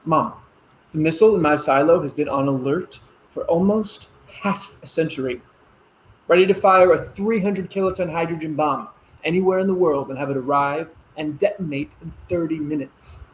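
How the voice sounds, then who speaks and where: Casting: actor as eager young officer son, higher-set male voice, earnest and conciliatory, stage-play realism, slight noise behind. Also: normal speed, close to an everyday voice, low-quality recording. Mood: eager, proud. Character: eager adult son, young Air Force missile officer, placating. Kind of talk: naturalistic stage-play dialogue.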